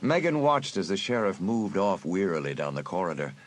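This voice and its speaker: A man narrating a dramatised audiobook in an expressive storytelling manner. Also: sits low, fairly quick.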